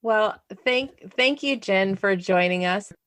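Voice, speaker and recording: A woman's voice: medium pitch, takes it slowly, a bit bright, dry recording. A woman co-hosting a podcast, asking a guest questions in a casual, friendly way with small hesitations. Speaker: podcast co-host. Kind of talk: casual interview chat.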